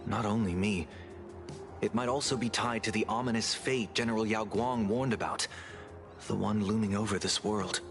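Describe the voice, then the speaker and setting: A man playing a serious, analytical video game character who explains things in a dry, measured way over music. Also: on the low side, natural voice.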